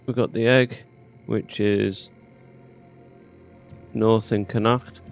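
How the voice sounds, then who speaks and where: A man's voice: fairly low pitch, steady tone, slow, gravelly, soft background music. A British man doing casual gameplay commentary, chatty and informal as he muses to himself. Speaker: gaming host.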